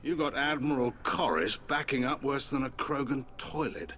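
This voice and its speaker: An actor playing a blunt, gruff but loyal alien military admiral in a video game. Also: male voice, medium pitch, moderate pace, fairly bright tone, natural voice. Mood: blunt, supportive. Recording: clear background noise.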